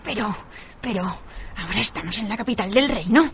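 A woman's voice: mid-range voice, lively intonation, normal speed, slight background noise, close to an everyday voice. A woman playing a feisty, nosy old cleaning woman in a radio drama. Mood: bewildered, curious.

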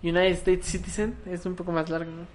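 A man's voice: sits high, little pitch movement, fairly quick. A man with a Mexican accent co-hosting a podcast in casual chat, teasing his friend and swearing casually. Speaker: podcast co-host.

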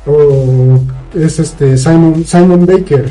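A man's voice: fairly high pitch, slow, dark tone, soft background music, low-quality recording. A man with a Mexican accent chatting casually as a podcast co-host, recounting a story in a rambling, colloquial way with frequent tag questions. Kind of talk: casual chat, recounting a film plot.

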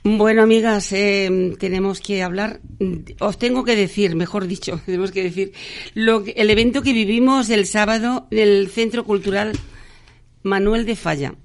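A woman hosts a live radio show from a studio microphone, her voice carrying a noticeable gravelly fry. She talks through announcements in a chatty, halting way, stopping to restart words and filling gaps with ums. Her pitch sits at an ordinary middle level for a woman. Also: fairly even intonation.

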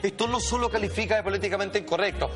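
A man with a Chilean accent giving animated, slangy, emphatic commentary, speaking straight to his listeners. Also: sits high, racing, music in the background. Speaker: talk show commentator.

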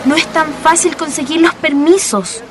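A woman's voice: sits high, normal speed, heavy background noise, lightly acted. A woman acting as a feisty, exasperated Chilean schoolgirl in a radio drama, scolding and sassy. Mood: annoyed, indignant.

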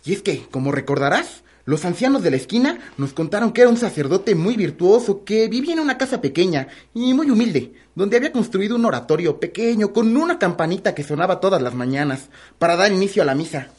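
A woman's voice: mid-range voice, big pitch swings, brisk, few pauses, darkish tone, husky. A woman with a Mexican accent playing a superstitious, gossipy household servant in a melodramatic radio soap opera. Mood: confiding.

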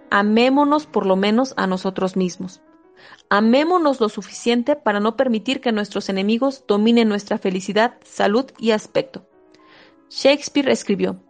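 Woman reading a self-help book, high voice. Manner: steady, measured reading with small slips and restarts. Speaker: audiobook reader.

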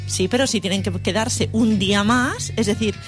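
Brief: woman co-host, mid-pitched, thinks aloud and pushes back. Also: normal speed, soft background music. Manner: argumentative, thinking aloud, self-correcting. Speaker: radio film show co-host. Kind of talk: film review radio chat.

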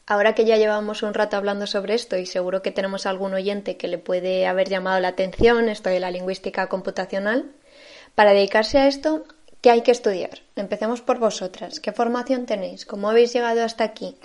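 A woman hosting an interview, asking her guests clear, direct questions. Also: medium pitch, steady tone, a little fast, darkish tone. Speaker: podcast host.

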